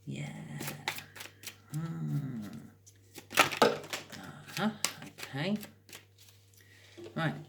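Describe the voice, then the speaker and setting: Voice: medium pitch, gravelly, slight background noise. A British speaker giving a casual, improvised tarot card reading as host, chatting and thinking aloud with wry asides.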